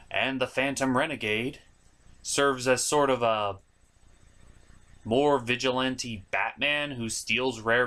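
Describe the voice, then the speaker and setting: A man's voice: medium pitch, fairly bright tone. A man hosting a review show, giving informal scripted commentary in an opinionated, conversational way with asides to the viewer. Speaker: host of a cartoon review show.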